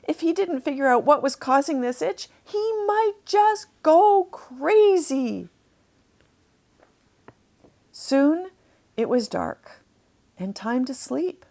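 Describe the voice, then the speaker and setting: Female children's storyteller: fairly high voice, very unhurried, expressive, deliberate emphasis. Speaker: author reading a children's story.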